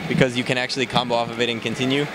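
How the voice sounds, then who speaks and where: A man casually walking through a game character's moves, explaining in a relaxed way with many fillers, recorded at a noisy event. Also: mid-range voice, rapid-fire. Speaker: game developer demoing a character. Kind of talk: casual gameplay walkthrough. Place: convention floor, noisy.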